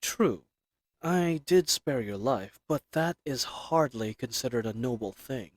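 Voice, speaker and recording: A man playing a formal, reserved assassin who is earnestly flirtatious, in a romantic audio roleplay with measured, polite speech. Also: medium pitch, animated, darkish tone, no echo. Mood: flattered, sincere. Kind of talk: scripted romantic audio roleplay addressed to the listener.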